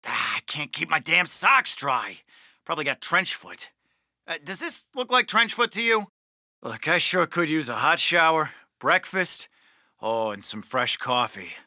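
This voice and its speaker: Irritable GI, war game, snappish, highish animated voice, dry sound. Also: male voice, unhurried, dry recording, heavily acted. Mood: irritated. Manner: snappish, complaining, sardonic. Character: griping, irritable American GI, male. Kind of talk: video game unit voice lines.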